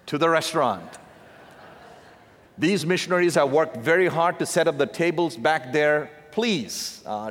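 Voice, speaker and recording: Indian-accented man preaching in a big hall. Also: on the high side. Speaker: missionary preacher. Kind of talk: sermon. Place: large church sanctuary. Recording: long reverb of a big hall.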